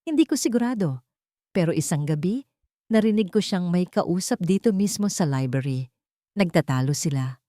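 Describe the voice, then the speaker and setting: A woman plays an elderly nanny in an audio drama, grave and troubled as she looks back. The voice sits fairly low and moves expressively, recorded close and dry. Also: expressive, moderate pace, mellow, dry recording, close to an everyday voice. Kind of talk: Tagalog audio drama dialogue.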